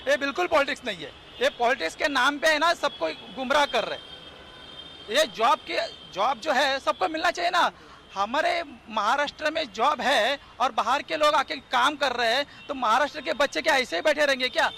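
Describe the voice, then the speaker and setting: Very high, gravelly man making a case. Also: normal speed, pushed and bright, slight background noise. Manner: insistent, rhetorical questions. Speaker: interviewee. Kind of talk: street interview opinion. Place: outdoors on a city street.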